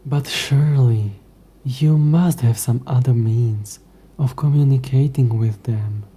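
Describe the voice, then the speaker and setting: A man's voice: medium pitch, mellow, slightly rough. A man playing an ancient, possessive demon in an intimate audio roleplay, speaking to the listener in a formal, wry, murmuring way. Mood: wry, curious. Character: ancient possessive male demon, sardonic, curious, teasing.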